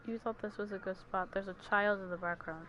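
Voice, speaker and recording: A woman's voice: medium pitch, fairly even intonation, a little fast, bright, forceful tone, lo-fi sound, slight background noise. A young woman in her teens giving casual gameplay commentary as a streamer. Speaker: gaming streamer.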